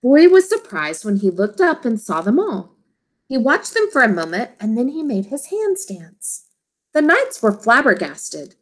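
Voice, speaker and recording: A woman teacher reading a children's storybook aloud, expressively and in simple, deliberate phrases for young listeners. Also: a little high, sing-song, rough recording.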